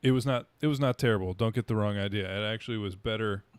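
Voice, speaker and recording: A man's voice: lowish voice, fast, clean tone, no echo. A man co-hosting a casual podcast, chatting in an easygoing, upbeat way. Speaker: podcast co-host.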